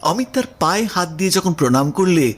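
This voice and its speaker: A man's voice: high-pitched, expressive, fairly quick, faint music behind. A man reading literary prose as the narrator of a Bengali audio story, in a scripted storytelling style.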